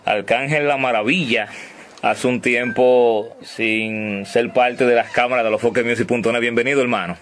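A man's voice: mid-range voice, fairly even intonation, normal speed, a bit bright, slight background noise. A man hosting an interview, welcoming a guest and asking questions with short acknowledgments. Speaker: interview host.

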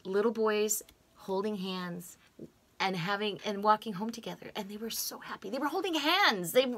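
A woman's voice: medium pitch, moderate pace, fairly bright tone. A middle-aged American woman in casual conversation, speaking expressively with emphatic repetitions, recorded on a desk microphone in a small home room. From United States. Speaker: interviewee, co-host. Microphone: desk microphone on stand.

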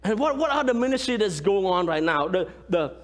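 A man in his thirties, a pastor from Cambodia with a Khmer accent, preaching a lively sermon and often asking the congregation "right?". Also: sits high, fairly quick. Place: large church sanctuary, indoors. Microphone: far camera, room pickup. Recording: long church hall reverb.

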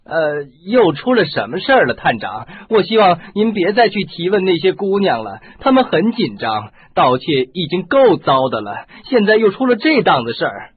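A man's voice: sits high, sing-song, normal speed, few pauses, husky, no room sound, full character voice. An actor playing a fretful, harried male show director in a radio drama. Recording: muffled phone-like audio.

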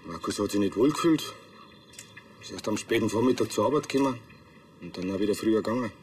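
Actor as earnest Bavarian chimney sweep. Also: male voice, on the low side, lively intonation, quick pace, background noise, close to an everyday voice. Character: earnest male master chimney sweep in TV crime comedy.

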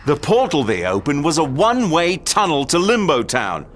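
An actor playing a cocky, sardonic magician adventurer in a video game, with a wry drawl. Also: male voice, on the high side, huge pitch swings, normal speed, fairly bright tone.

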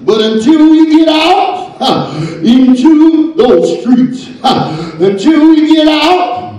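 High-voiced preacher whooping in an echoey church. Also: male voice, expressive, takes it slowly. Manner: chanted preaching with constant "uh" and "praise the name of God" refrains; shouting, gesturing with raised hand. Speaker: pastor. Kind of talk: sermon. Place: church sanctuary, pulpit. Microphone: handheld microphone. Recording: very echoey church hall, from a distance.

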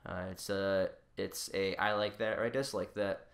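A man's voice: low voice, fairly even intonation, normal speed, vocal fry, fairly bright tone. A man co-hosting a podcast in casual chat, often repeating words as he feels his way into a sentence. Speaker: podcast co-host.

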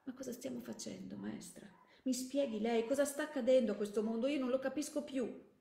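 A woman's voice: a little high, big pitch swings, a little fast, mellow, voice pushed up. Woman acting a desperate young male soldier in an echoey room. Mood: tearful, bewildered. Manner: agitated, pleading.

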